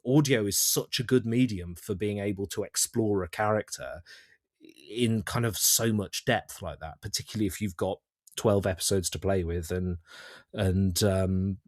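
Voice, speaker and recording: A man chatting as an interview guest about his creative work, thinking aloud haltingly and often repeating words. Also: fairly low pitch, no room sound, studio quality. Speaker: audio drama writer-director, interview guest. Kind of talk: casual interview chat.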